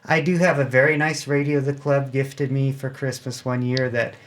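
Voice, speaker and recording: A man's voice: mid-range voice, level tone, moderate pace. An American man talking as a club guest in an interview, casually explaining things in a plain-spoken, anecdotal way. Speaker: amateur radio club member, interview guest.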